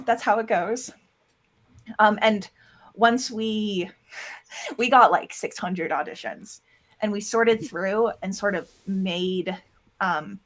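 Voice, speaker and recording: A woman gives a casual interview as a producer and voice actor. Her voice sits at a middle pitch. She explains things in a loose, rambling way, dropping in quoted asides as she goes. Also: a bit bright. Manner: rambling, with self-quoting asides. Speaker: interview guest, audio drama producer and voice actor.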